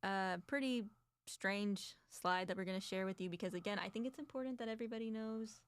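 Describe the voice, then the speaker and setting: A woman's voice: mid-range voice, fairly quick, crowd noise. A woman co-hosting an advocacy talk show, chatting casually and reading documents aloud with commentary. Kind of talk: casual discussion.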